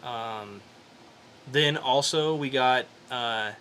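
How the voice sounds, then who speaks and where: Bright-voiced man chatting through geek news. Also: mid-range voice, extremely slow, a little hiss or hum. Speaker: podcast co-host reading entertainment news. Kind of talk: casual geek news chat.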